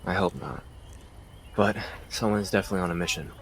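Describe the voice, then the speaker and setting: A man's voice: deep voice, fairly quick. An actor playing an earnest, practical male police detective working a murder case. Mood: focused. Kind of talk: audio drama dialogue.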